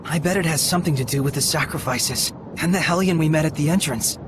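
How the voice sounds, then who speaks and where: An actor playing an earnest, compassionate young hero in a video game, with a persuasive way of speaking. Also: male voice, fairly high pitch, fast, noisy background, close to an everyday voice. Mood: curious. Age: young adult. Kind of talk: dramatic video game voice acting. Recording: music behind the voice.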